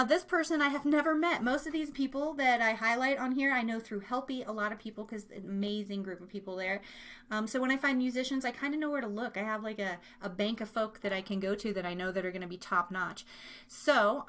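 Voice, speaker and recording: A woman's voice: fairly high pitch, sharp tone. An American woman from Kansas hosting a live stream from her room, chatting to listeners in an informal, rambling way with playful slang. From Kansas, United States. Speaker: livestream and podcast host. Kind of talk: casual live chat with listeners.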